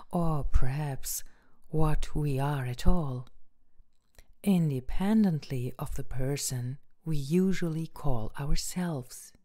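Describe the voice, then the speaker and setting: A woman introducing a guided meditation as host, speaking in a gentle, breathy, unhurried way with long pauses. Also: low-pitched.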